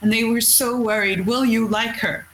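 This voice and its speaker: A woman's voice: on the high side, fairly even intonation, moderate pace, slight background noise, rough recording. A Norwegian woman, a coastal fisherman, speaking English as a second language, telling stories candidly in a casual interview. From Norway. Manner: candid, storytelling, blunt with mild cursing.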